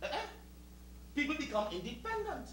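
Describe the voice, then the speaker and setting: Proud, defiant Caribbean servant, roomy stage. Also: male voice, very high voice, big pitch swings, a little fast, fairly bright tone, full character voice. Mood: indignant. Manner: rhetorical, formal, emphatic speechmaking. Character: proud, meticulous West Indian servant man, defiant and eloquent. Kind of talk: theatrical two-hander stage acting. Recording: roomy distant stage recording with hiss.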